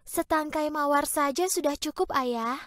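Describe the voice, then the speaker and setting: A woman voicing a sweet, kind young daughter in a cartoon story, speaking softly and pleadingly. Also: high-pitched, measured pace, no echo, pitched above the performer's own voice. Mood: tender. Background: background music.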